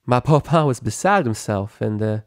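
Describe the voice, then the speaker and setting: A man's voice: medium pitch, lively intonation, moderate pace, no room sound, studio quality, lightly acted. A man voicing a down-to-earth, teasing young female farm pony with folksy, plain-spoken talk. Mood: fond.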